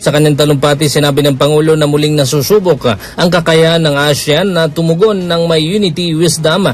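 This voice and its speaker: A male radio reporter reading a formal news report in a steady voice, with a few brief hesitations. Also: fairly high pitch, fairly quick. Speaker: field reporter.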